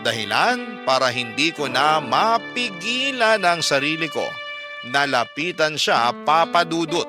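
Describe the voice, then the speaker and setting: A man's voice: on the high side, sing-song, a little slow. A man narrating a scripted first-person love story as a radio storyteller. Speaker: radio storyteller reading a listener's letter. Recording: clear music bed under the voice.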